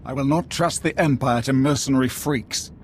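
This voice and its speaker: A man's voice: medium pitch, moderate pace, slight background noise, lightly acted. A man playing a proud, impatient Imperial military officer in a video game, clipped, authoritative and disdainful. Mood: indignant, disdainful. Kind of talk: video game cutscene acting.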